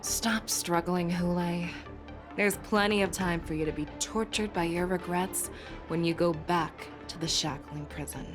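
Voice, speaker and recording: A woman playing a composed, seasoned female general in a video game, speaking in a measured, formal and authoritative way, gracious yet commanding. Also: lowish voice, close to an everyday voice. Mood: firm, commanding. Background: game music.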